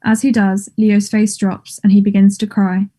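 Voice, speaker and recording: A woman with a mellow, mid-pitched voice narrates a play script. She reads out the stage directions for a table read. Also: flat delivery, normal speed.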